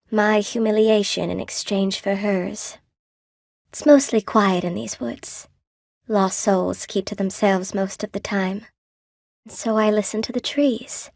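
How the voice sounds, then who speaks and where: A woman's voice: medium pitch, darkish tone, dry recording, clean studio sound. Wistful, courteous spider woman, closely recorded. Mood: proud, defiant, then wistful, confiding. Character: wistful, courteous spider woman, cursed weaver, proud yet lonely. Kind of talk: video game character dialogue.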